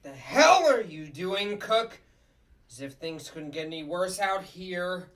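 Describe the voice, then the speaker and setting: A woman's voice: fairly low pitch, animated, slow pace, distant mic. A woman voicing a nervous, flustered male puppet district attorney in a comedic table read, sometimes stammering. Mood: weary.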